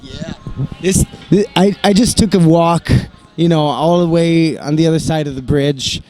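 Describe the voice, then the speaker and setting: A man's voice: on the high side, fairly even intonation, moderate pace, dark tone, soft background music. A young American man, bilingual in English and Italian, answering interview questions in a hesitant, restarting way. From United States. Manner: hesitant, many restarts and fillers. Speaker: band member, interview guest. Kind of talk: casual interview talk.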